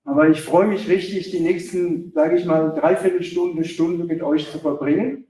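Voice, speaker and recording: A man speaking German at a lecture, pausing in short chunks so an interpreter can translate. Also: on the high side, steady tone, mellow, slight background noise. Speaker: guest speaker, sales director. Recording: echoey hall, voice from far off.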